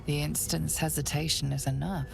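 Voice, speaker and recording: An actress playing a grave, ominous fantasy game narrator, speaking in a hushed, dramatic way. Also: female voice, deep voice, little pitch movement, moderate pace, vocal fry, over music, low-quality recording.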